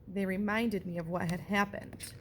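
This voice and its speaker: A woman, a teacher, reading a picture book aloud and pausing to point out what the pictures show. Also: mid-range voice, fairly even intonation, slight background noise, poor audio. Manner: gentle, slow storybook delivery with pauses.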